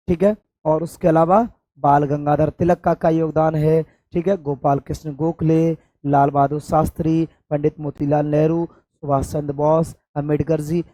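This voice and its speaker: A hoarse man from India, teaching an exam-prep lesson and stressing points by repeating them. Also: on the high side, dark tone, no echo. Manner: addresses students affectionately, emphatic repetition. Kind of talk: classroom-style exam coaching lecture.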